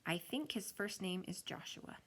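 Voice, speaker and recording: A woman's voice: mid-range voice, lively intonation, normal speed, a bit bright, husky. Woman acting eager, techy schoolgirl. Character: tech-savvy schoolgirl, eager but a little unsure.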